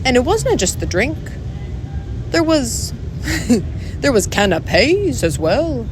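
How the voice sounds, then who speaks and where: A daft little Scottish fairy fellow acted by a woman, tipsy and guileless. It is a lively storybook voice with noise behind it. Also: sits high, slow, voice pushed up, exaggerated voice. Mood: giggly. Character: silly tipsy little male fairy, helpfully blundering.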